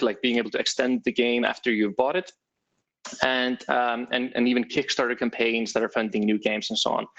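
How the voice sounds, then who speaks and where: A man's voice: medium pitch, vocal fry, muffled recording. A man from Iceland with an Icelandic accent, giving a casual tech talk at an online meetup, with many fillers and restarts. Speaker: developer advocate.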